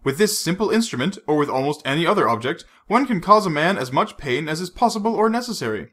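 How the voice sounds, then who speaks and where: A man reading a storybook, voicing a suave, menacing male villain who mocks his captive with unhurried threats. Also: on the high side, very animated, fairly bright tone, pitched above the performer's own voice. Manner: silky, mocking, unhurried threats.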